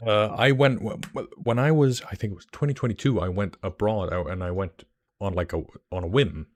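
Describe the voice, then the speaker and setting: Low-voiced Icelandic man chatting casually. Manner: rambling, enthusiastic, many fillers and restarts. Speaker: streamer. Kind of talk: casual livestream chat.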